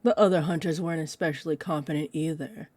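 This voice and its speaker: A woman playing a possessive, condescending, centuries-old female vampire in an audio roleplay, speaking to the listener in a haughty, amused manner that drifts toward breathy murmurs. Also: deep voice, fairly even intonation, fast, a little mellow, no echo. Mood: amused, nostalgic. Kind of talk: roleplay audio drama monologue, addressed to listener.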